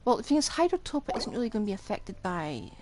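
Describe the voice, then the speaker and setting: A woman's voice: a little high, big pitch swings, quick pace, darkish tone, slight background noise. A woman giving casual, offhand live commentary while playing a video game. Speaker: game streamer. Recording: game music behind the voice. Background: video game music and combat sounds.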